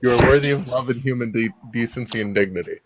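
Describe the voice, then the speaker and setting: A man's voice: mid-range voice, quick pace, crowd noise. Rambling man with a Chicago accent. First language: Russian. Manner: rambling storyteller, many fillers and restarts. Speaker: guest. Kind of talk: casual conversation.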